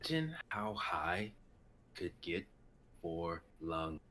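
Actor doing a goofy stoned young guy, drawly and slow, real animated pitch. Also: full character voice. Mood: stoned musing. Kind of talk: amateur dramatic script reading over video call.

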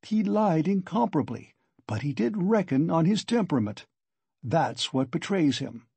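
Sly, solicitous investigator for a novel, played by a male reader: animated, husky, rambling and wheedling. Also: on the high side, moderate pace, dry recording, pitched above the performer's own voice, heavily acted. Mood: teasing, needling. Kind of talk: audiobook character acting.

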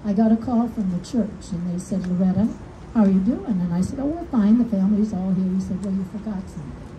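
An older woman with a deep voice giving a heartfelt dedication speech outdoors. She tells warm personal stories with gentle humor. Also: fairly quick, a little hiss or hum. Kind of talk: heartfelt public speech at a podium. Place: outdoors under a canopy, small crowd. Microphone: podium mic heard through speakers, recorded from the audience. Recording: distant speaker through PA with outdoor ambience.

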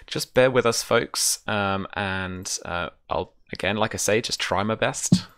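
A man's voice: on the low side, measured pace, fairly bright tone, clear, resonant tone, dry recording. A British man in his thirties chatting informally as he explains a tabletop game on a livestream, easygoing and reassuring. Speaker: game master and streamer. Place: small room at home, on a video call.

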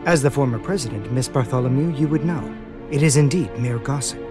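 An actor playing a solemn, polite young man in an animated game, speaking in a measured, formal and understated way. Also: medium pitch, over music, close to an everyday voice. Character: soft-spoken, solemn, polite young man, a feared scientist. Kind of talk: dubbed animated game cutscene acting.

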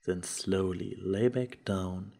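A man's voice: sits low, takes it slowly, vocal fry, warm tone. A man reading scripted fiction as the narrator of a dramatised story. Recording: music behind the voice.

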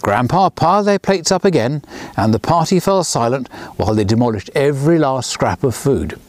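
Animated man reading a storybook. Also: moderate pace, dry recording. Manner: lively storyteller, lifts pitch for characters' lines. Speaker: children's author reading his book. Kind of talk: storybook read-aloud. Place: outdoors beside a miniature railway.

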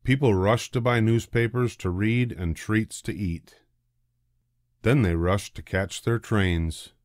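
An older man narrating a children's storybook in a deliberate, expressive way. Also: lowish voice, no room sound, clean studio sound. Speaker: storybook reader.

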